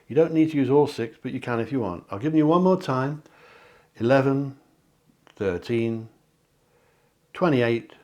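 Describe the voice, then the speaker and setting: Chatty man giving a card reading. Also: mid-range voice. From England. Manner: chatty, self-correcting, gently encouraging. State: slightly hoarse, clearing throat. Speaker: psychic card reader. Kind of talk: tarot-style card reading to camera. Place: home near the sea.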